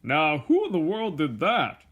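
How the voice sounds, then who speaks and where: A man's voice: fairly high pitch, huge pitch swings, measured pace, fairly bright tone, husky, lo-fi sound, heavily acted. A man voicing a puzzled, inquisitive night watchman in a storybook, exclaiming and questioning. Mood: suspicious.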